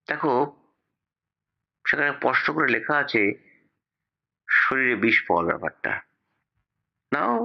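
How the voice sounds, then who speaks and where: A man's voice: medium pitch, gravelly, dull audio. A man playing a police investigator in an audio story, emphatic and explanatory, defensive about a case that baffles him. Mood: frustrated. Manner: emphatic, explanatory, with rhetorical questions.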